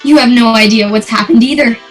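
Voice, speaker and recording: An actress playing an emotional, sincere wife in an old-time radio drama, speaking in a dramatic, heartfelt way. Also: female voice, fairly high pitch, a little fast, soft background music, natural voice. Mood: relieved, loving.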